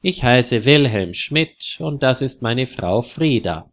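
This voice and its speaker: A man voicing a friendly older retired man in a slow storybook for language learners. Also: medium pitch, animated. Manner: friendly, explaining.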